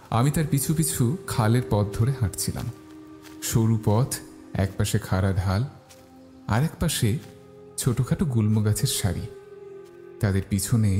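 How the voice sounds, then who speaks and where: Low, mellow male story narrator. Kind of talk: scripted first-person horror story narration.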